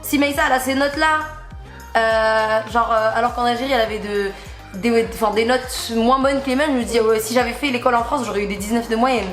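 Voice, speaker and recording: A woman's voice: a little high, a little fast, fairly bright tone, echoey room, soft background music. A young woman from Algeria with a slight Algerian accent, chatting casually and laughing often as a vlogger. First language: Kabyle or Arabic. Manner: bubbly, laughs often, reads report card aloud. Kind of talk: casual chat.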